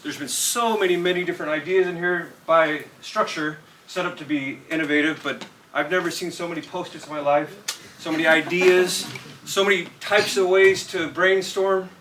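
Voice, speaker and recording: A man's voice: fairly high pitch, fairly even intonation, moderate pace, fairly bright tone. A man from California, presenting a student project to a live audience and talking straight to them. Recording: distant mic with light noise in a room.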